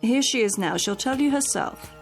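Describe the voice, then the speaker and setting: Lively, protective private nurse. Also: female voice, on the high side, moderate pace, faint music behind. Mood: firm, indignant. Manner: firm, solicitous. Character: brisk, protective private nurse, soothing but firm. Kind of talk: radio drama acting.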